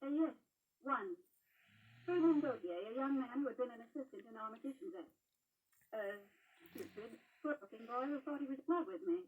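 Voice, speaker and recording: Husky, high-voiced poised society lady. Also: lively intonation, a little fast, distant mic, narrow, tinny audio. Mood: composed. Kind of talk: old radio drama acting. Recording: old radio broadcast, played from afar.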